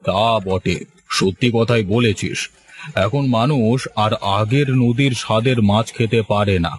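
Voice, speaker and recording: A man's voice: fairly low pitch, unhurried, lightly acted. A man voicing a blunt, chatty fish merchant in a cartoon, patronising and outspoken. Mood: blunt, genial. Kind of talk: Bengali cartoon story voice acting.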